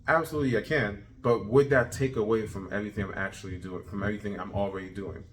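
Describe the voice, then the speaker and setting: A thirty-year-old man talking casually in a self-improvement video journal, energetic and repeating himself as he pushes his point. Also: fairly low pitch, fast talker. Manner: energetic, repetitive, self-motivating. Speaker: vlogger.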